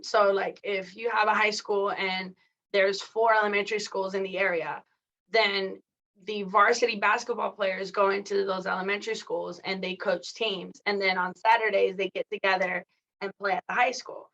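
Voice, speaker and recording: A woman's voice: medium pitch, fairly even intonation, moderate pace, fairly bright tone, highs cut off. A woman who was born in Mexico and raised in California, a coach and athletic director, talking candidly as a podcast guest with lots of "like" and "you know". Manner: candid, chatty, many fillers like "like" and "you know". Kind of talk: casual interview conversation.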